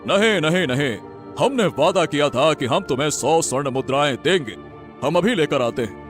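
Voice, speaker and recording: A man's voice: on the high side, lively intonation, moderate pace, soft background music, close to an everyday voice. A man voicing a trusting, regal king who speaks earnestly in a moral-story cartoon. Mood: grateful, kindly. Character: trusting, grateful fairy-tale king. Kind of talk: animated Hindi moral-story dialogue.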